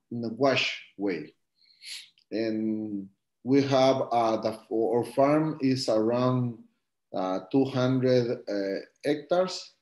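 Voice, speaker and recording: A man from Guatemala, a coffee farmer, answering interview questions over a video call in halting English with many fillers. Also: medium pitch, darkish tone, echoey room, low-quality recording. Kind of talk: live-stream interview answers.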